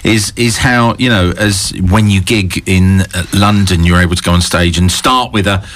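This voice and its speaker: A man's voice: deep voice, fairly even intonation, moderate pace, fairly bright tone, slight background noise. A man hosting a casual studio chat on the radio, chatty with stumbling restarts. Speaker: radio host.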